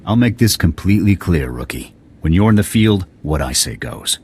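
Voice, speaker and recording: Deep-voiced, curt military lieutenant. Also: male voice, lively intonation, moderate pace, darkish tone, husky. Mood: stern. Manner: curt, commanding, scornful. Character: stern, impatient military lieutenant and operations officer. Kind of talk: video game voice acting.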